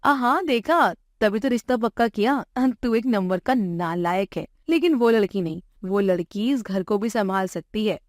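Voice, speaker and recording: A woman's voice: medium pitch, animated, moderate pace, few pauses, no room sound, studio quality, lightly acted. Woman playing a firm, outspoken middle-aged mother. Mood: stern scolding.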